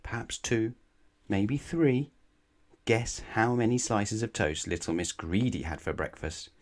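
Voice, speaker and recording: A man reading a children's bedtime story aloud in a playful, emphatic way, addressing the young listener. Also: fairly low pitch. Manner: playful, emphatic, drawn-out key words, rhetorical questions to the listener. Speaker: storybook reader.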